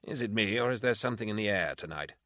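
Man acting a deep, wry military commissar. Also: animated, quick pace, bright, forceful tone, no room sound. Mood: wry, grumbling. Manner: dry, sardonic, authoritative. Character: gruff, wry, commanding male military commissar. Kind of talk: audiobook character acting.

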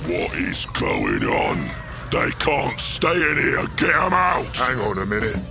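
Big dumb cockney orc boss, gravelly n slow, boastful, rough grammar, audio drama w music behind. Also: male voice, fairly low pitch, wildly swooping pitch, exaggerated voice. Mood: alarmed, blustering. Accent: English, working-class London. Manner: blustering, rough ungrammatical speech.

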